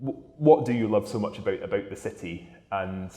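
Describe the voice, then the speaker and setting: A man's voice: on the low side, fairly quick, warm tone, echoey room. A man interviewing a guest, asking brief questions and giving attentive backchannels. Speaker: interviewer, festival head of music.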